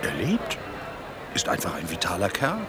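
An actor in a radio drama playing a down-to-earth sceptic who argues bluntly and sardonically. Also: male voice, low-pitched, wildly swooping pitch, moderate pace, very noisy. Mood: sardonic. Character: down-to-earth male sceptic, dryly teasing his companion.

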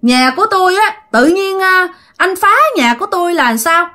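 A woman's voice: very high voice, animated, moderate pace, pushed and bright, pitched above the performer's own voice, full character voice. A woman voicing an aggrieved female tree ghost in a spoken horror story, complaining insistently and repeating herself. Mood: indignant scolding.

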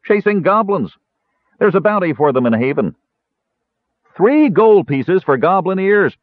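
A man voicing a rough, offhand woodland ranger who hunts goblins, in a fantasy audiobook. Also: high voice, big pitch swings, measured pace, dry recording, narrow, tinny audio, voice pushed up.

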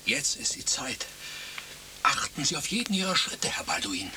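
Sly crab hunter, swooping delivery. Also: male voice, a little high, very animated, moderate pace, background noise. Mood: coaxing. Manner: florid, mock-courteous. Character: Adrian, sly, florid crab hunter playing host outdoors. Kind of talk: German radio play acting.

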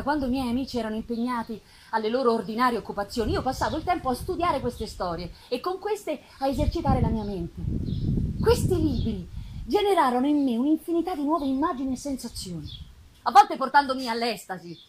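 A woman voicing a lonely, self-loathing male creature in a dramatic literary reading, eloquent and bitter, with measured, solemn phrasing. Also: fairly high pitch, close to an everyday voice, low-quality recording. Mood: reflective. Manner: measured, solemn phrasing with emphatic swells and hushed drops. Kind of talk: dramatic literary reading, live theatrical performance. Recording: live festival recording with faint noise.

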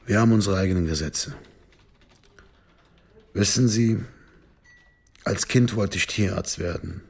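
Deep, husky, insolent gangster. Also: male voice, unhurried. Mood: bitter, proud. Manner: provocative, self-assured. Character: proud, sly crime-clan man, self-justifying and insolent. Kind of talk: naturalistic German radio drama acting.

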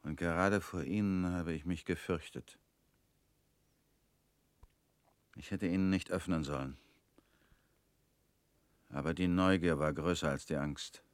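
A man's voice: low-pitched, fairly even intonation, normal speed, no room sound, close to an everyday voice. An actor in a radio play playing a fearful, suspicious man alone at home, speaking in insistent, clipped sentences. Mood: subdued fear. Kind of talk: German radio play acting.

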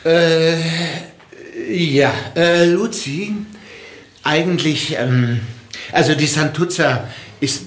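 An actor playing a fussy village choir director who stammers and hesitates. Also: male voice, a little high, slow pace. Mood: flustered. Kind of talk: radio play acting. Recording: roomy studio reverb.

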